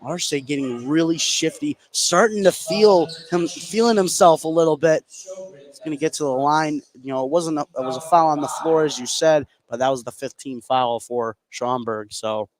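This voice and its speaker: Guy doing basketball color commentary, kinda high voice, casual and chatty analysis. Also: normal speed. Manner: casual, informal phrasing. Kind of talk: conversational sports analysis.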